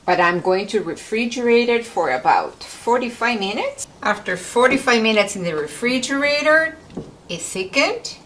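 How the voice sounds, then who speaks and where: A woman's voice: a little high, expressive, moderate pace, fairly bright tone, distant mic, slight background noise. A woman from Mexico with a Mexican accent, a native Spanish speaker, hosting an informal home recipe demonstration. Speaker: home cooking video host. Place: kitchen.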